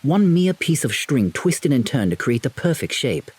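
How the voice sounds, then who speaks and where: Woman as imperious young prince, husky, suspicious, hot-tempered, highly animated intonation, audio play. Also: moderate pace, full character voice. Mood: pensive. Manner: scoffing, imperious.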